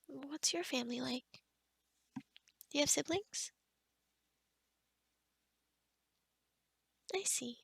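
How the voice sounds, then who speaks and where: A woman playing a kind, sheltered young forest witch in a soft-spoken, half-whispered ASMR roleplay, gentle and hesitant with small stammers. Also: high voice, no echo, full character voice. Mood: curious, gentle. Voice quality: breathy, whispery.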